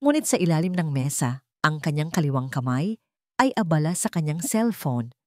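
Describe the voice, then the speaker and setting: A woman's voice: sits low, sing-song, normal speed, no room sound, clean studio sound. A woman narrating a romantic melodrama as a scripted, dramatic story.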